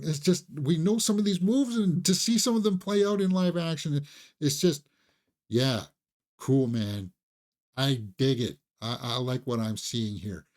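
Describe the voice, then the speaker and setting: A man hosts a casual film review in a conversational, fan-like way. His pitch moves around a lot, rising and dropping as he makes his points. He sounds like an enthusiast talking straight to viewers. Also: darkish tone. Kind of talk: casual video movie review.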